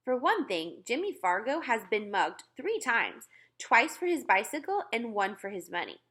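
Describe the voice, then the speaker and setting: A woman reading a children's book aloud in an expressive storytelling style. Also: medium pitch. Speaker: read-aloud reader.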